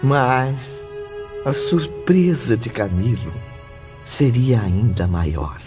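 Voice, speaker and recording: A male narrator reads an audiobook at a slow, solemn pace. His mid-pitched voice sounds a little dull, with faint music underneath. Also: animated. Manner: solemn, deliberate.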